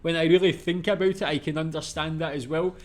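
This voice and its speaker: A man's voice: fairly high pitch, fast. A man from the UK, casually commenting on a comedy clip as a reaction video host. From United Kingdom. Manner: relaxed, chatty, laughs along.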